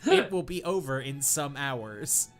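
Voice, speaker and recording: A man's voice: medium pitch, expressive, measured pace, faint music behind. A man voicing a giant heroic robot leader, overdramatic and earnest. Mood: solemn.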